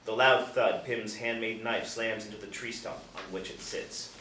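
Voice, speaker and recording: A man's voice: lowish voice, fairly even intonation, normal speed. A man reading stage directions as scripted narration at a table reading. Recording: roomy, distant mic, faint noise.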